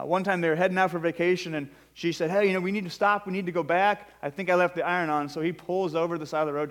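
A young man preaching an evening sermon as a fill-in pastor, in a conversational way with frequent fillers and joking asides. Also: on the high side. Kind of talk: sermon with storytelling.

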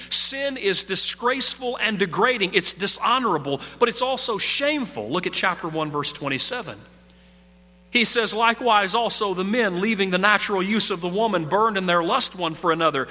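A man preaching an expository sermon, emphatic, dropping low on key points. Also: sharp tone, long reverb tail.

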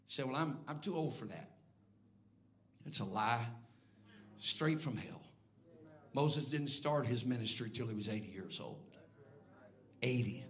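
A man preaching a sermon in a church, swinging between low, hushed lines and loud, near-sung calls to the congregation. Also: expressive, measured pace, pauses often. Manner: builds from quiet, low delivery to loud, near-sung shouting. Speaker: bishop preaching. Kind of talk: Pentecostal sermon. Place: church sanctuary before a congregation.

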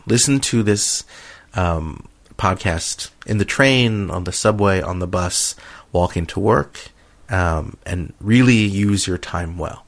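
A man co-hosting a podcast in casual, unscripted chat, with a joking manner. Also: fairly low pitch. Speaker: podcast co-host.

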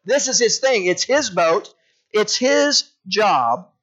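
A male pastor preaching in an easy, storytelling way, his voice sitting a little high for a man. He keeps asking his listeners rhetorical questions. The recording sounds dull and lo-fi. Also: lively intonation, slow, fairly bright tone. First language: English. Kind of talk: sermon.

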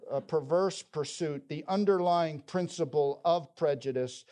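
A man's voice: on the high side, mellow. A man preaching a sermon, telling a story and quoting scripture with emphasis. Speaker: pastor.

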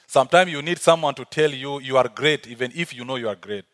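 A man's voice: medium pitch, quick pace, a bit bright. A man with a South Sudanese accent preaching as a guest speaker in church. He talks earnestly and repeats key phrases for emphasis. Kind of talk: sermon.

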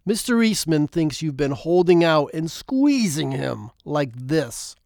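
A man's voice: a little high, big pitch swings, slow pace, gravelly, darkish tone, dry recording, studio quality, voice pushed up, full character voice. A man voicing an intimidating, pushy male thug. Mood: threatening.

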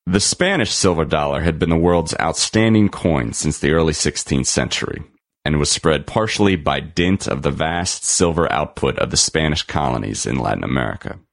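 Deep voiced guy narrating an article, formal and deliberate, really dry close sound. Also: creaky, no echo. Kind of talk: scripted narration, reading an economic history article.

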